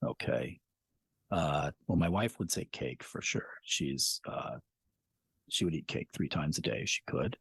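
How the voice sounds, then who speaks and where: A man's voice: very deep voice, animated, measured pace, creaky, a bit bright, husky, no echo, clean studio sound. An American man chatting casually as an interview guest, with an easygoing, self-effacing storytelling way of talking. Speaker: podcast guest, audio drama creator.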